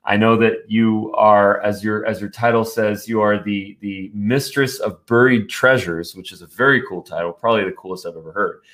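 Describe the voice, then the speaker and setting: A man's voice: fairly low pitch, steady tone, moderate pace, few pauses, fairly bright tone. A man hosting a business podcast, upbeat and chatty, who restarts his questions as he asks them. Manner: upbeat, repeats himself when starting questions. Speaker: podcast host. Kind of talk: interviewing a guest.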